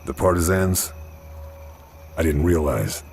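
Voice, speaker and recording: An actor playing a gruff, stoic American army lieutenant in a video game, terse and understated, with a low gravelly voice. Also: male voice, expressive, takes it slowly, a little mellow, faint music behind, full character voice. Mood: sympathetic. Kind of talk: video game cutscene acting.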